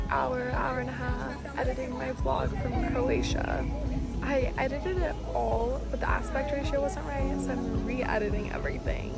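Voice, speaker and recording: A young woman narrating her week in a casual, upbeat vlog, full of "like" fillers. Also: high-pitched. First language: not French. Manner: chatty and upbeat, many "like" fillers. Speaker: vlogger. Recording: loud music under the voice.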